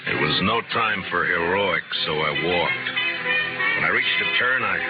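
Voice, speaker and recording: A man's voice: sits low, measured pace. An American actor playing a stern, determined lawman in a radio western, terse and commanding. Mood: grim, cold. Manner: terse, commanding, hard-boiled. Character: stern, determined frontier lawman of the Old West. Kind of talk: 1950s American radio drama acting. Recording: muffled old radio broadcast, music behind.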